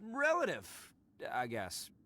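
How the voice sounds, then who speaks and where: An actor playing a boastful, big-hearted young oni hero in a video game, with a swaggering, boisterous manner. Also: male voice, fairly high pitch, wildly swooping pitch, takes it slowly, sharp tone, a little hiss or hum, heavily acted. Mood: confident. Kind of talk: English video game voice acting.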